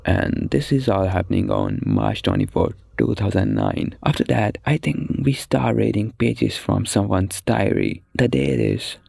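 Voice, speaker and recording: A man with a gravelly, husky voice narrating a story recap in a casual, scripted explainer style. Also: on the low side, sing-song, unhurried, few pauses, a little mellow, no room sound. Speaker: narrator of a horror explainer video.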